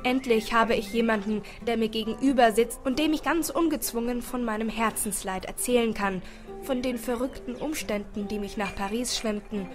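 A woman reads a first-person story in an expressive storytelling style. Her voice sits on the high side. Music plays softly behind her. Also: moderate pace. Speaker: audiobook narrator. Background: background music.

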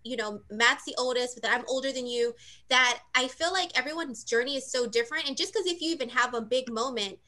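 A woman's voice: sits high, brisk, pushed and bright. A woman in her thirties co-hosting a casual interview over a video call, reflective and encouraging. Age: 30s. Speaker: co-host.

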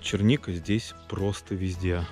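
Low-voiced man vlogging on location. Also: fairly even intonation, unhurried, darkish tone, faint music behind. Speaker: travel vlogger. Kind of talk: on-location vlog narration.

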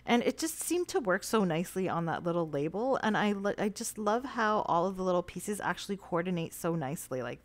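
Low-voiced woman narrating a craft tutorial. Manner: relaxed, chatty explaining with fillers. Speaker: cardmaking tutorial host.

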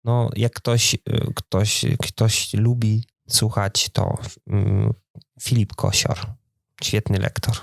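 A man's voice: fairly low pitch, little pitch movement, slow pace, vocal fry, no room sound, clean studio sound. A man co-hosting a podcast in a casual, conversational style. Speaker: podcast co-host. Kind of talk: casual chat.

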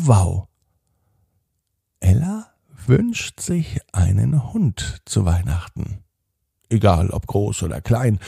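Slow, deep, gravelly male bedtime storyteller. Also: warm tone, no room sound, studio quality. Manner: deliberate, repeats phrases, drawn-out emphasis. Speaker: podcast host and storyteller.

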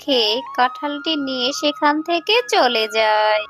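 A woman narrating a scripted children's animated story. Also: sits high, normal speed. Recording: music behind the voice.

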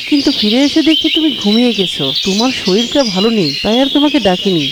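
A woman's voice: on the high side, heavy background noise, lightly acted. A woman playing a dutiful, earnest young boy in a moral cartoon, speaking in a caring way and thinking aloud. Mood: earnest, tender. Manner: earnest, talks to himself aloud. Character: dutiful young boy devoted to his sick mother. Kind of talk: Islamic moral cartoon dialogue.

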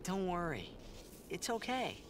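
A man's voice: high-pitched, wildly swooping pitch, unhurried, noisy background, heavily acted. An actor playing an earnest, easygoing teenage boy hero in a video game. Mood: gentle, reassuring. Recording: game music behind voice.

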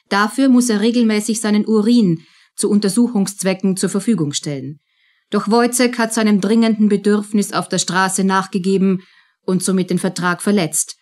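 Woman narrating, mid-pitched. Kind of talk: scripted narration.

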